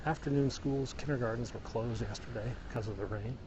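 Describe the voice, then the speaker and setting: Midwestern us guy talking to his phone on an outdoor hike, easy chatty vibe, middle pitch, cars going by. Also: fairly quick, dull audio, background noise. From Illinois, US. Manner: chatty, explanatory, answering viewers. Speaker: vlogger live-streaming a hike. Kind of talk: casual walking livestream chat. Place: outdoors on a mountain road.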